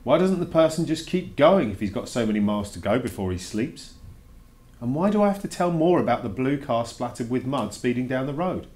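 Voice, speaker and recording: A man's voice: medium pitch, big pitch swings, fairly quick, darkish tone, natural voice. A man voicing a reluctant schoolboy who puts emphatic, grudging questions to his teacher in a children's storybook read-aloud. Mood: grumbling, questioning. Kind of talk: dramatic read-aloud of a children's verse novel.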